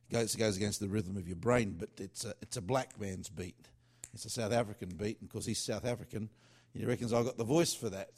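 Lowish voiced guy rambling about his worship songs, lots of uhs and restarts. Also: darkish tone. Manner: loose and chatty, with many fillers and restarts. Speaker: worship singer-songwriter. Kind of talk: casual rambling talk.

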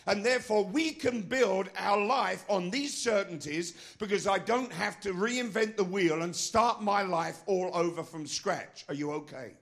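A middle-aged man preaching a sermon as pastor of his church, with a non-American accent, emphatic and asking the congregation questions. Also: sits high. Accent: foreign, not American.

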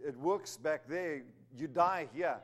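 A man's voice: fairly high pitch, slow pace. A man from Zimbabwe, raised in Africa, preaching an emphatic sermon with humorous asides. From Zimbabwe, raised in South Africa. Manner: emphatic, rising to stress key words, with humorous asides.

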